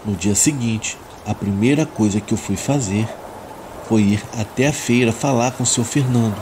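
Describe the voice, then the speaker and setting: Low-voiced Brazilian man narrating over rain. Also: moderate pace. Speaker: narrator of listener-submitted horror stories. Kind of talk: scripted first-person story narration. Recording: steady rain noise behind the voice. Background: rain ambience.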